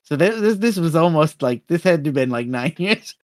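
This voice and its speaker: A man co-hosting a casual film discussion, retelling scenes with animated acted-out quotes and often stumbling over repeated words. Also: on the high side, brisk, no echo, studio quality. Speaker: podcast co-host.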